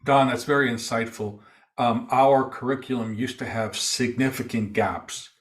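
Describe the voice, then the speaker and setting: A man's voice: mid-range voice, steady tone, normal speed, far from the mic. A man who helps shape the curriculum, speaking in an affirming, emphatic way on a call.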